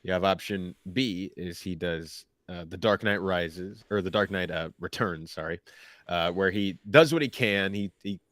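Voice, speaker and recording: Low-voiced man thinking aloud. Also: moderate pace, a bit bright, no room sound. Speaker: co-host of a pop culture discussion show. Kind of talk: casual chat, laying out opinions.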